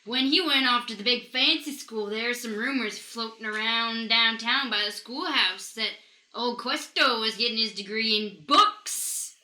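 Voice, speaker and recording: A woman's voice: fairly high pitch, unhurried, pushed and bright, echoey room, a little hiss or hum, rough recording. A woman playing a folksy, teasing small-town gossip with a homespun drawl in an improvised comedic radio play. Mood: wryly teasing.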